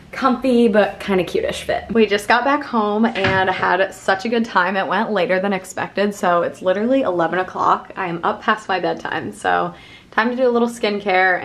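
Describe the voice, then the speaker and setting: Young American woman vlogging in an echoey room. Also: mid-range voice. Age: 20s. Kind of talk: casual vlog chat. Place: indoors at home, rooms and kitchen. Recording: roomy home echo, some background noise.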